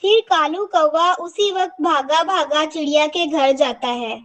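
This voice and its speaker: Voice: sits high, measured pace, a bit bright. A narrator telling a scripted children's cartoon story.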